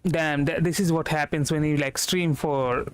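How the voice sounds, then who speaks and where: A man with an Indian accent giving casual live game commentary, halting and repeating himself with many fillers. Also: fairly high pitch, steady tone. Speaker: game streamer. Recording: stream with game music behind. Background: video game music.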